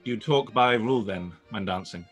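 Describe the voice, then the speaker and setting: A proud, guarded gentleman of a period radio play, played by a man. His voice sits on the low side and he speaks with stiff, formal restraint. Soft music runs underneath. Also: moderate pace, natural voice. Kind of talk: period drama live radio-play reading.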